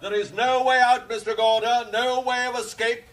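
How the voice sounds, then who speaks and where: A man playing a coolly persuasive villain boss in a radio thriller, formal and measured as he calls out. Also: extremely high pitch, level tone, a bit bright. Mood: calm ultimatum.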